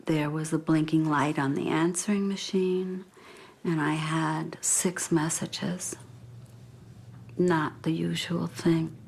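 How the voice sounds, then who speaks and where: Low-voiced older woman, slow and measured. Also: gravelly, husky. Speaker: bereaved mother giving testimony. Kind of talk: reflective documentary interview.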